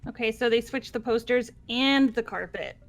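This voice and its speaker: A woman's voice: on the high side, moderate pace, faint music behind. A woman casually reasoning aloud as a player in a group game playthrough.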